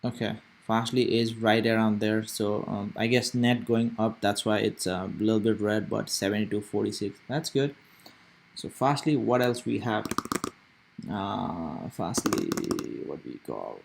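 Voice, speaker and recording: Man, lowish voice, young adult, casual live market talk, rambling, thinking aloud. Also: unhurried, creaky. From Nepal. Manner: rambling, thinking aloud with many fillers and restarts. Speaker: stock trading streamer.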